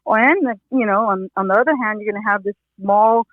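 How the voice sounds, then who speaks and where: A woman's voice: mid-range voice, brisk, dry recording. Woman on a tinny phone line. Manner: rambling, many "you know" fillers and restarts. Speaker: retired police officer, interview consultant. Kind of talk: casual advice in an interview.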